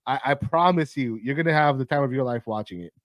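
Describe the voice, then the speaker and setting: A man hosting a movie countdown, his voice raspy and tired, rambling casually with lots of swearing, fillers and restarts. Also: mid-range voice, fast talker, dry recording. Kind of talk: casual rambling film talk.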